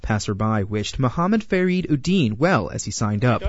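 A man's voice: medium pitch, sing-song, moderate pace, dull audio, slight background noise. A man reading a scripted news feature as its narrator. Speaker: narrator, reporter.

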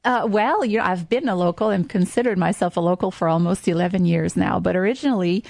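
A woman's voice: lowish voice, fast talker, gravelly, a little mellow, no room sound, clean studio sound. A middle-aged woman from Quebec with a French Canadian accent, speaking English as a second language and chatting enthusiastically about tourism in an interview, with frequent fillers. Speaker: tourism marketing guest. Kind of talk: conversational interview.

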